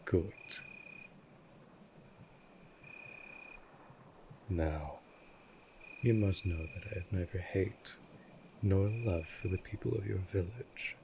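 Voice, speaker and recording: A man playing a cold, possessive vampire master in an audio drama, speaking in a formal, commanding, measured way. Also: deep voice, vocal fry, warm tone, slight background noise, rough recording. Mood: stern, menacing. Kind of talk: scripted roleplay audio drama.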